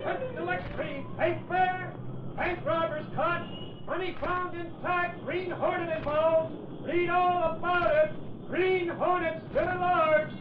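An actor playing a street newsboy hawking an extra edition in loud singsong calls, in old radio drama style. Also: very high voice, little pitch movement, slow pace. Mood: urgent hawking. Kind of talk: 1940s radio acting, street crier calls. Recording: old muffled radio, echoey, distant, loud music.

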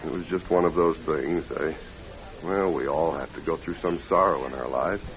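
An earnest widower speaks in a deep voice with a gravelly creak. He is a quietly intense suitor in an old radio drama, and the sound is dull with age. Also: moderate pace. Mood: sad, confiding. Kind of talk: classic radio drama acting.

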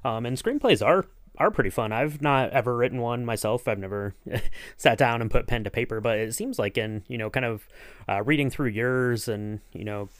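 A man with a mid-pitched voice acts as a podcast interviewer. He sounds casual and agreeable, wandering hesitantly through his sentences. The recording is dry and free of room sound. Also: moderate pace, dry recording. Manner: hesitant, agreeable, rambling questions.

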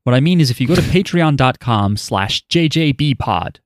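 Man, podcast host, casual chat, wry asides, medium pitch, dry recording. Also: animated, normal speed, no echo. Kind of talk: casual chat, reading listener email.

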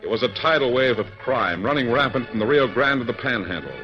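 A man's voice: medium pitch, expressive, fast. A man narrating an old-time radio drama in a grand, theatrical, declamatory style. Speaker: announcer and narrator. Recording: dull vintage radio recording with music.

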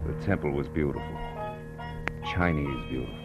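A man's voice: a bass voice, normal speed, lo-fi sound. An American man playing a tough, cynical private detective with clipped, wry delivery in a 1940s-style radio crime drama. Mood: dazed, dreamy narration. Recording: old radio recording with music.

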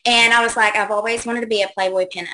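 A woman's voice: mid-range voice, fairly even intonation, fast talker, pushed and bright, a little hiss or hum. A woman in her thirties, a guest on a casual call-in show, chatting loosely with lots of ums and likes. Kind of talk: casual interview answers.

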